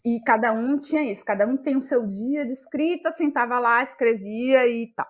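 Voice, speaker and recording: A woman with a Bahian Brazilian accent speaks in a fairly high voice. She talks as an interview guest, reflective and thoughtful, often pausing and restarting as she searches for the right word. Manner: thoughtful, halting, searching for words. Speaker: guest, playwright and actress.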